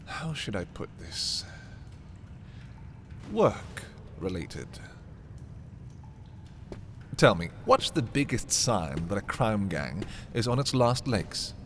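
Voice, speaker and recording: A haughty prince from a dark fantasy ASMR roleplay, acted by a man, speaks low and very slowly to his captive. He is theatrical and condescending, menacing in his deliberate pauses. Noticeable background noise sits behind the voice. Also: exaggerated voice. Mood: coolly taunting.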